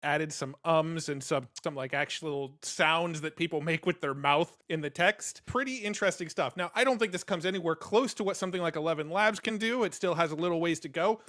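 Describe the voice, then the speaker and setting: A man hosting a tech video, walking viewers through software step by step. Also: fairly high pitch, brisk, few pauses. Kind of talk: tutorial walkthrough.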